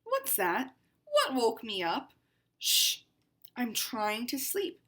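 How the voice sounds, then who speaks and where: A fussy, haughty house cat tells her tale in a storybook voice, unhurried and exclaiming, as a woman reading aloud plays her. The tone sits fairly high. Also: wildly swooping pitch, sharp tone, exaggerated voice. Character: haughty pampered house cat, female-ish narrator, fussy. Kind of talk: read-aloud picture book.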